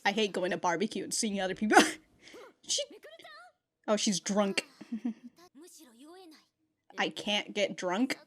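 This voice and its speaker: A woman's voice: medium pitch, fairly even intonation, slow, long pauses, fairly bright tone. A woman giving casual reaction commentary, slangy and exclamatory, swearing freely and often breaking into giggles. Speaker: reaction video host.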